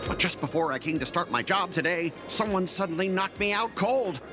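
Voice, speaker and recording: A man's voice: sits high, expressive, a little fast. A man voicing a fawning, melodramatic corporate underling in a video game, with an obsequious, bombastic, exaggerated manner. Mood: eager, aggrieved. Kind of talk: video game character dialogue. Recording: clear music behind the voice. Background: game music.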